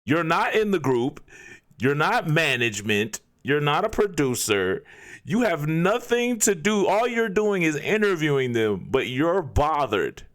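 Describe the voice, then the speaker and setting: A man reacting to a video, ranting in disbelief with one rhetorical question after another. His pitch swings widely up and down as he talks. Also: big pitch swings, measured pace, fairly bright tone. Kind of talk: casual reaction commentary.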